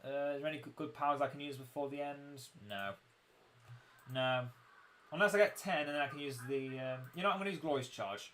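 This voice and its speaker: Croaky-voiced young man streaming a game. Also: a little high, a little fast, fairly bright tone. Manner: chatty, self-mocking, explains game mechanics. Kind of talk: casual livestream commentary.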